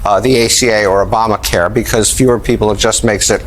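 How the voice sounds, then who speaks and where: A man's voice: lowish voice, fairly even intonation, quick pace, low-quality recording. A man explaining figures as an analyst on a news programme. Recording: clear background noise.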